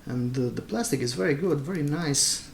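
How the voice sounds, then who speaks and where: A man with a creaky voice talks through a product unboxing in halting, non-native English. He takes his time, pausing often to find the word. The room is echoey, with clear noise around him. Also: fairly high pitch. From Transylvania, Romania. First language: not English. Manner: hesitant, halting, searching for words. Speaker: product reviewer. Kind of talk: casual unboxing commentary. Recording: echoey room, noisy, clicks and crinkling.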